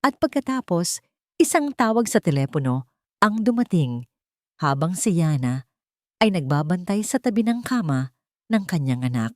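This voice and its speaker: A woman's voice: lowish voice, sing-song, moderate pace, pauses often, darkish tone, no room sound, clean studio sound. A woman storyteller giving scripted, dramatic narration of a romantic radio drama.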